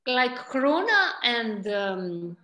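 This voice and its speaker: Soft-spoken woman. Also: a little high, very animated. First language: Croatian. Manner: halting non-native English, gentle and encouraging. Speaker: class organizer and translator. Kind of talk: video call Q&A. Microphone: video call. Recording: roomy video call audio.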